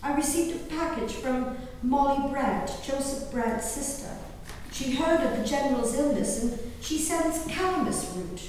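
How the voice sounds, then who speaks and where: A distant, heavily reverberant hall recording with some hiss carries a woman acting a colonial-era gentlewoman. Her voice sits fairly high, and she delivers her diary as a stage monologue, earnest and emotive, with formal, theatrical diction. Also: lively intonation, a little slow. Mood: sombre, wistful. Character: grieving, nature-loving 18th-century colonial gentlewoman reading her diary. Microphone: distant room microphone. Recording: very echoey hall, distant mic, background hiss.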